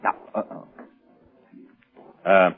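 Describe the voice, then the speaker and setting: A man's voice: slow pace, lightly acted. A man playing a cool, reassuring criminal conspirator in a 1940s radio drama. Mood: calm, persuasive. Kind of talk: 1940s radio crime acting. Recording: muffled vintage 1940s radio recording.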